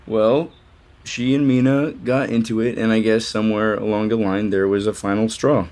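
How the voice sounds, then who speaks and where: A man's voice: lowish voice, flat delivery, normal speed, a little mellow, natural voice. A man acting in an audio soap opera as a defensive, sarcastic younger brother who runs the family company and drinks too much. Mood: evasive. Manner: irritable, sarcastic.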